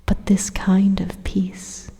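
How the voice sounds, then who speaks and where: Woman as a slow, breathy evil supercomputer. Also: medium pitch, completely flat delivery, mellow, exaggerated voice. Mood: bleak certainty. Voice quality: breathy, whispery. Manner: deliberate, pausing, condescending. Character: calm, menacing supercomputer robot turned evil, coldly persuasive. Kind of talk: ASMR roleplay monologue. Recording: long reverberant echo.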